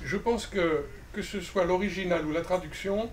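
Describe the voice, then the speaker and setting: A man who works as a literary translator, speaking at a bookshop panel in a measured, reflective way with frequent restarts. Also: sits high. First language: not Romanian. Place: bookshop event. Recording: distant mic with faint ambient noise.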